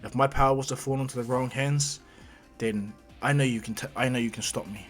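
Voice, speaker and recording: A man voicing a huge, aging male top hero who speaks in a low, earnest, pleading tone. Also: steady tone, brisk, faint music behind, natural voice.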